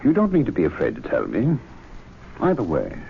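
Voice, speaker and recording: An actor playing an urbane, calm, wryly measured clubman in a radio drama. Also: low voice, gravelly, exaggerated voice. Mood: calm, concerned. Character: urbane, unruffled clubman, mildly amused peacemaker. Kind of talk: old-time radio drama acting. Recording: dull hissy vintage radio recording.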